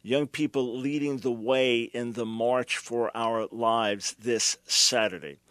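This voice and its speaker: A man's voice: mid-range voice, steady tone, takes it slowly, a bit bright, no echo. Emphatic male commentary host, dry sound. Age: older. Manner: emphatic, preacherly, mocking at times. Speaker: radio show host.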